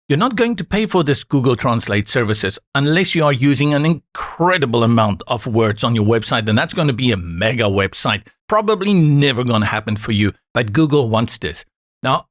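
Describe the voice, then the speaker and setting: A man whose first language is Afrikaans talks viewers through each click of a software setup. His voice sits at an ordinary middle pitch. The recording is close and dry, with no room sound. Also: dry recording. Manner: calm, instructive, reassuring asides. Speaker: software tutorial presenter. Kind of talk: screen-recorded step-by-step tutorial.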